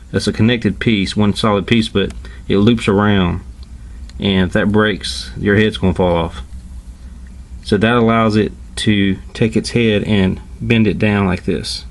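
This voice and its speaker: A man giving a casual, informal hands-on toy review, explaining step by step with hesitant pauses. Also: fairly low pitch. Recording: clearly noisy home recording.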